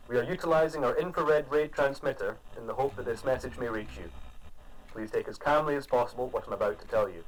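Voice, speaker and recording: A man's voice: medium pitch, close to an everyday voice, low-quality recording. An actor playing an anxious, pious male professor in a radio drama, speaking earnestly and formally as doom nears.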